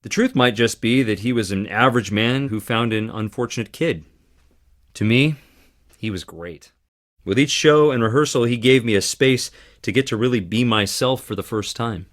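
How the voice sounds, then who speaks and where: An actor playing a charming, theatrical theater performer with dramatic flair in a video game. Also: male voice, medium pitch, moderate pace, close to an everyday voice. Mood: wistful, sincere. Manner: theatrical, dramatic, swinging between playful and intense. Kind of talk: video game character dialogue.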